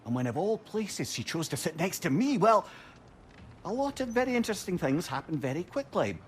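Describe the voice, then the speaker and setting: A man's voice: high-pitched, very animated, fairly quick. An actor playing a witty, wistful old male advisor with a Scottish accent, telling stories lyrically and wryly in a video game. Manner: lyrical, wry, conversational. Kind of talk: storytelling video game dialogue. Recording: ambient noise behind voice.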